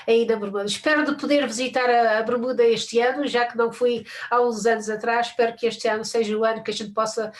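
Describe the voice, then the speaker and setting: A woman's voice: on the high side, fairly even intonation, fast talker, few pauses. An older woman hosting a live stream from home over a video call, chatting casually, with frequent restarts and little laughs.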